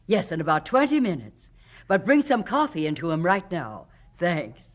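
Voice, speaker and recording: A woman's voice: fairly low pitch, huge pitch swings, normal speed, a little hiss or hum. An actress playing a troubled, weary, wealthy wife and mother in a 1970s radio drama, dramatic and emotional. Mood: groggy, aching.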